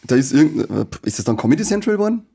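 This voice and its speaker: A man with a Bavarian accent and a husky voice, chatting casually as co-host of a podcast with friends. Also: on the high side, sing-song, rapid-fire, warm tone.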